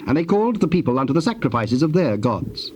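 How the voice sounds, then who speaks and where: A man narrating scripture for a dramatized audio Bible in a measured, formal way. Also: on the high side, big pitch swings. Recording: faintly hissy old cassette tape.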